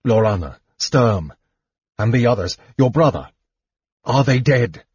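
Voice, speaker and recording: A man's voice: medium pitch, expressive, measured pace, darkish tone, breathy edge, no room sound. Man as a troubled, earnest half-elf adventurer. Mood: anxious. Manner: urgent, troubled questioning. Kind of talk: audiobook fantasy character dialogue. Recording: slightly muffled, dull sound.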